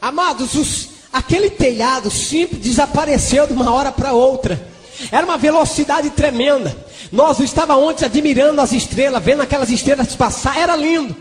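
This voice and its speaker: A Brazilian man preaches in a very high-pitched voice, with long reverb trailing behind him as in a big hall. His Pentecostal testimony is delivered fervently, full of exclamations and bursts of speaking in tongues. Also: brisk, faint music behind. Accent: Brazilian Portuguese.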